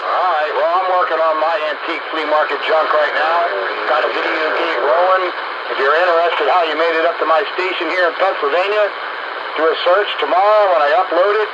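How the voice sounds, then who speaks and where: A man's voice: a little high, fairly even intonation, brisk. A middle-aged man chatting casually over radio, telling stories in a folksy, rambling way. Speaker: amateur radio operator, roundup host. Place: home radio station. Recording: muffled, noisy two-way radio session.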